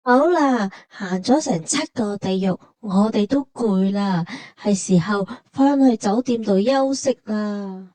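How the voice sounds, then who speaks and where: A woman's voice: medium pitch, slow pace, few pauses, mellow, no room sound. A woman with a Hong Kong Cantonese accent narrating a travel vlog conversationally, explaining things like a storyteller.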